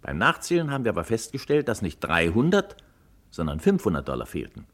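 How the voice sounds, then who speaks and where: Well-to-do husband in vintage radio mystery: lowish husky voice, lively swoops, deliberate, puzzled explanations. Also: male voice, exaggerated voice. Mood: puzzled, explaining. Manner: deliberate, explanatory, carefully emphatic. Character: puzzled, well-off husband confiding in an old friend. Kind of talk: 1950s German radio crime drama acting.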